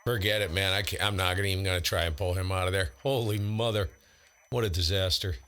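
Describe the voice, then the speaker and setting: A man's voice: deep voice, steady tone, quick pace, heavy background noise. A man hosting an amateur radio live stream, trading call signs and making grumbling, exasperated asides.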